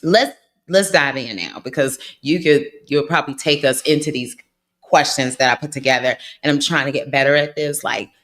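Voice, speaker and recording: An upbeat host leads a relaxed interview, nudging the guest with encouraging remarks. Questions come out chatty and a little meandering. The host turns to the viewers now and then. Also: mid-range voice, normal speed. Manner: upbeat, affirming, addresses viewers directly. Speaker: podcast host. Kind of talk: casual interview hosting.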